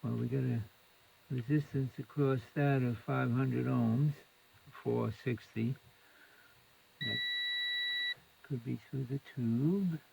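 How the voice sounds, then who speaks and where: A man with a gravelly, fry-laden voice talks through a hands-on repair. He takes his time, muttering his thoughts in short fragments as they come. A little background room noise sits behind his mid-pitched voice. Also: slow, pauses often, mellow, poor audio. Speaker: electronics repair hobbyist, presenter. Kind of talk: unscripted hands-on repair commentary.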